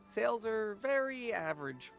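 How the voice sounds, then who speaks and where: A man's voice: high-pitched, big pitch swings, moderate pace, gravelly, soft background music, exaggerated voice. An actor voicing a nervous young snack vendor who stammers and apologizes while explaining his wares in a game cutscene.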